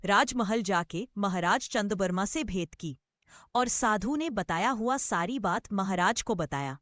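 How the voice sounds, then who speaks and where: A woman's voice: medium pitch, expressive, measured pace, a bit bright, no echo. A woman narrating a scripted story.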